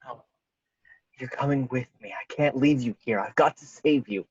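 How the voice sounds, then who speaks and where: A man reads a young hero and loyal son in a film table read. The voice is mid-pitched and husky, earnest and anguished, heard through a slightly muffled video call. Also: moderate pace, natural voice. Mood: anguished, insistent. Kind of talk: amateur screenplay table read.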